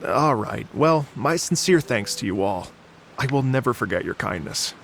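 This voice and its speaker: A man's voice: medium pitch, animated, moderate pace, gravelly, faint music behind. A man voicing a gracious, poetic young travelling musician in a video game, with a courteous, lyrical, earnest delivery. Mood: grateful. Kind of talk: video game character acting.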